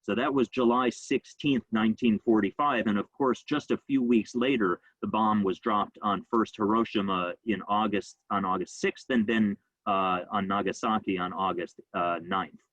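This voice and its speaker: A man giving an informal history talk, speaking quickly and conversationally with frequent ums and uhs. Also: on the low side, steady tone, a bit bright, dry recording, dull audio. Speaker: rabbi giving a history lecture.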